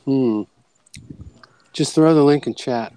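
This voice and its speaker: An easygoing man who grew up in Florida chats on a group call, answering mostly in short replies. His pitch sits in the ordinary middle range. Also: slow pace, warm tone, clear, resonant tone, no room sound. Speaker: hangout participant. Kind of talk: casual online group chat.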